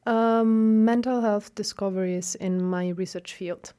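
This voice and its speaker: A young woman from Italy with an Italian accent, a guest researcher talking casually in an interview, animated and full of fillers and restarts. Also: medium pitch, measured pace, smooth. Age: young adult.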